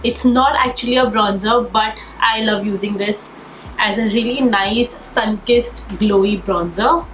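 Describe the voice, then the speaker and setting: A woman's voice: medium pitch, a little slow. A young woman with a slightly nasal voice from a cold, chatting enthusiastically through a makeup tutorial. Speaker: beauty vlogger. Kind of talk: casual get-ready-with-me makeup tutorial. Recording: echoey room with faint background noise.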